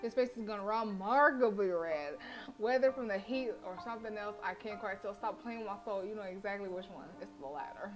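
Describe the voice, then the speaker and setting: Brash, animated female streamer. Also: mid-range voice, fairly quick, over music, rough recording. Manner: brash, animated and profane, with slangy trash talk. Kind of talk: live gameplay commentary and reading aloud.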